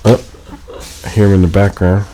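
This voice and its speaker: A man's voice: deep voice, flat delivery, normal speed, mellow, a little hiss or hum, rough recording. A man speaking in casual chat as the host of a podcast.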